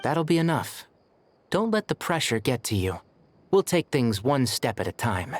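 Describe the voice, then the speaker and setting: An actor playing a steady, serious, reassuring male leader in a video game, composed and brief in his speech. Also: medium pitch, moderate pace, faint music behind, natural voice.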